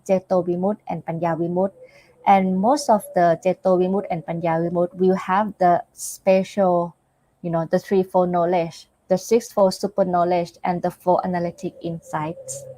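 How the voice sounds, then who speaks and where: A Buddhist nun from Thailand with a Thai accent, giving a teaching with live questions and answers. She pauses often and searches for her words. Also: lowish voice, level tone, dark tone, dry recording, low-quality recording. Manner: hesitant, searches for words with many fillers.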